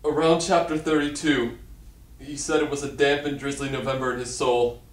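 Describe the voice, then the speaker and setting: Puppet-show book club member played by a man: earnest, plainly delivered lines, medium pitch, echoey room sound. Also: level tone, moderate pace, natural voice. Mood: matter-of-fact. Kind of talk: comic puppet show dialogue. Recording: echoey room, distant microphone.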